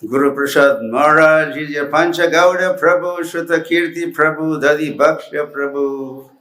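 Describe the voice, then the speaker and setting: A man giving a slow, deliberate welcome in an archival lecture recording, pausing between names. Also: fairly high pitch, fairly even intonation, fairly bright tone. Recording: roomy archival recording with faint noise.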